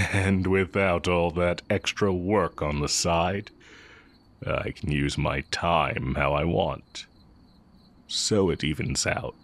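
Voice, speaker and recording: A man playing an exiled heretic dark-magic teacher in an intimate audio roleplay, wry and condescending yet fond of the listener. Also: low-pitched, takes it slowly. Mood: fond, musing.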